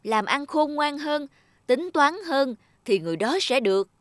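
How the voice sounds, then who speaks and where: A woman voicing a shrewd, insistent young village wife in an animated folk tale, with a Northern Vietnamese accent. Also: high voice, normal speed, no echo, studio quality. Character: shrewd, calculating young village wife advising her husband.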